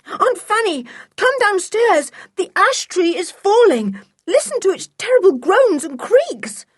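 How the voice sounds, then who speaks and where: Woman as a frantic, high-pitched shouting storybook boy. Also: sing-song, measured pace, dry recording, studio quality, full character voice. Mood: frantic alarm. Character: alarmed boy yelling an urgent warning. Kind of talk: children's storybook character voice.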